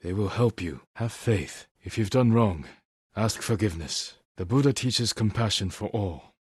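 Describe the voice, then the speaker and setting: An actor playing a stoic, honorable samurai warrior in a video game, speaking in a measured, formal and grave way. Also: male voice, lowish voice, lively intonation, breathy edge, dry recording, studio quality. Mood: calm, solemn. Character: stoic, honorable samurai warrior, adult man, solemn and protective. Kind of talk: dramatic video game acting.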